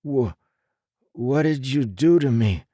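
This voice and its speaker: A man's voice: medium pitch, animated, takes it slowly, mellow, no echo, studio quality, pitched above the performer's own voice. Man doing a frightened, stammering young superhero clone. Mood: horrified.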